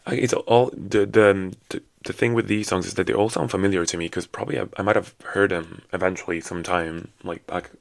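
A man in his twenties hosting a solo podcast in casual, rambling chat, his voice raspy and low after a night of drinking. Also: fairly even intonation, a little fast, few pauses, dry recording. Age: 20s. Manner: rambling, many fillers and restarts. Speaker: podcast host.

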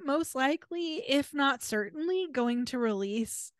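A woman's voice: high voice, expressive, measured pace, no echo, studio quality. A woman around her forties with an American accent, co-hosting a podcast in casual, chatty and emphatic talk. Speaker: podcast co-host. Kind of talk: casual chat.

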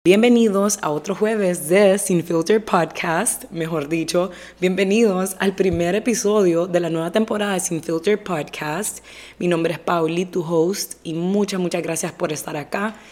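Young woman, slightly hoarse, stuffy nose, fairly low voice, direct podcast chat. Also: a little slow, darkish tone. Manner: direct, chatty, mixes English phrases into Spanish. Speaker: podcast host. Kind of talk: casual solo podcast chat.

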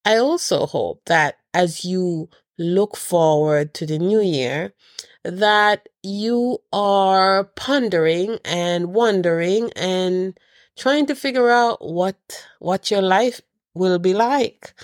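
A woman's voice: lowish voice, slow pace, dry recording, clean studio sound. A middle-aged woman hosting a podcast, sounding nasal as she gets over a cold, talking earnestly to her listeners with a few restarts. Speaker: podcast host. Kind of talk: casual holiday message to listeners.

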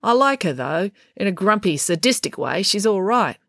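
Cheeky, prickly girl acted by a woman reader, raised pitch, blunt know-it-all delivery, storybook character. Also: very animated, moderate pace, creaky, fairly bright tone, dry recording, clean studio sound, heavily acted. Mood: wry, approving. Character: prickly, outspoken young girl, cheeky and sure.

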